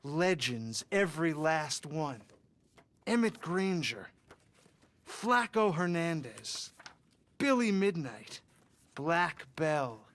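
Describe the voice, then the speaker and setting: A man's voice: a little high, very animated, takes it slowly, pauses often, fairly bright tone, exaggerated voice. A man playing an eager, flustered, bookish biographer in a western video game, with an earnest, wordy manner. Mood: pleading. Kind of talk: video game dramatic acting.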